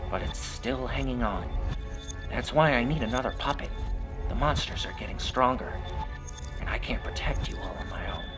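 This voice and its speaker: A man voicing a magic puppet in a horror story, calm and secretive, persuading and explaining in an ominous way. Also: mid-range voice, moderate pace, music in the background, close to an everyday voice. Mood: ominous, solemn.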